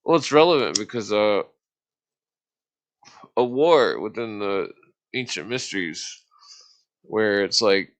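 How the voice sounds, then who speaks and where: Male host, lowish voice, loose rambling explainer, halting delivery with many searches for the right word. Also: animated. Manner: halting, searching for words, often losing his thread. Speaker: host explaining esoteric symbols. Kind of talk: casual rambling explanation in a livestream debate.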